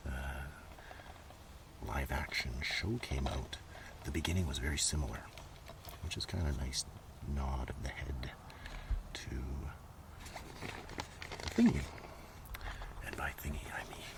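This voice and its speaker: A man speaking in a soft, casual ASMR ramble that often slips toward a whisper, with unhurried murmured asides. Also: very deep voice. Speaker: host of a comics collection ASMR video. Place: outdoors. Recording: noisy outdoor recording with breeze. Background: breeze, page flipping, ambient noise.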